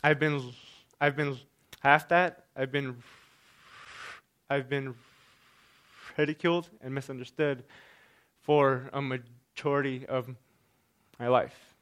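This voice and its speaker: Man, stutter, repeated sounds and restarts, smooth resonant voice, talk to an audience. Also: medium pitch, little pitch movement, takes it slowly, pauses often, fairly bright tone. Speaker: speaker giving a stage talk.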